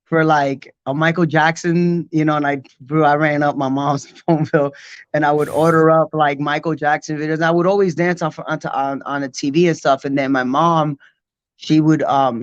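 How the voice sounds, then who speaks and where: A man's voice: fairly high pitch, no echo, dull audio. A man in his forties, born in Puerto Rico, telling stories in a loose, rambling way full of fillers during a casual interview. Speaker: guest b-boy.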